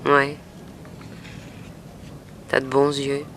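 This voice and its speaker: An actress playing a young, submissive streetwalker in a 1960s radio drama, resigned and plaintive. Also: female voice, rumbling low, monotone, extremely slow, a bit bright, exaggerated voice. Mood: softening. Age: young adult. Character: weary, frightened, submissive young streetwalker under a pimp's hold. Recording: faintly noisy old radio recording.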